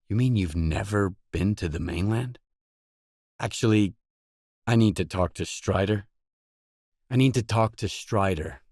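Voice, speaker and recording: An actor voicing a gruff, guarded stalker mercenary in a video game, blunt, terse and no-nonsense. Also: male voice, on the low side, darkish tone, no echo, clean studio sound. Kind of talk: video game dialogue lines.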